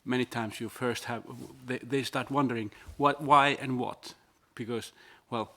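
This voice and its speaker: A man's voice: medium pitch, fairly even intonation, measured pace, fairly bright tone. A man from Finland speaking as a panelist in a plain, explanatory way. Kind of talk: panel discussion.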